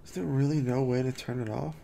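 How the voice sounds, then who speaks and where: Young man, gravelly, dark voice, puzzled gameplay commentary, self-mocking, faint noise. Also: medium pitch, fairly even intonation, fast. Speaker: gameplay streamer.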